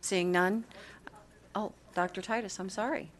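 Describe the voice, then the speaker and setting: A woman chairing a legislative committee hearing, calling on speakers in a brisk, procedural way. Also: mid-range voice, steady tone, slight background noise.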